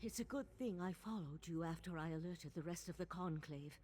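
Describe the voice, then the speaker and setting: An actress playing an earnest, resolute female mage in a fantasy game, explaining things in a measured, serious way. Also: on the low side, a little mellow, slight background noise, close to an everyday voice. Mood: earnest, urgent. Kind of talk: fantasy game dialogue acting.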